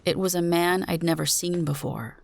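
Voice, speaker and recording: A woman with a low voice gives a dramatic reading of a suspense story. She narrates in the first person as the storyteller. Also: moderate pace, vocal fry, a little hiss or hum.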